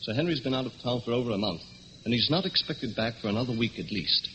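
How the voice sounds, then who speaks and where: An actor playing a formal, deferential manservant in a radio drama, courteous and proper. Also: male voice, mid-range voice, lively intonation, quick pace, lightly acted. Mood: polite, deferential. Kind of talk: old radio drama acting. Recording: dull lo-fi radio recording, faint hiss.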